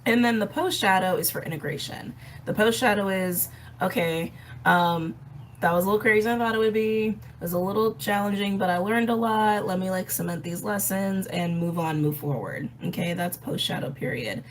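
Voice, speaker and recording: A woman with a hoarse, raspy voice, host of an astrology channel, explaining the planets in a chatty way with frequent ums. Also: medium pitch, moderate pace, a little hiss or hum, low-quality recording. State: hoarse, recovering from a respiratory illness. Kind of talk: casual explanatory astrology talk.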